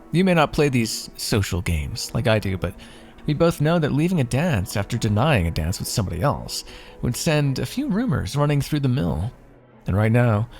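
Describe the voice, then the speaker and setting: A man acts out a smug royal in love, for a fantasy audio roleplay. His mid-pitched male voice has a heavy, gravelly fry, and his delivery is theatrical and teasing, with courtly phrasing. Music plays behind him. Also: darkish tone. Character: smug, lovestruck young royal, a teasing former captive.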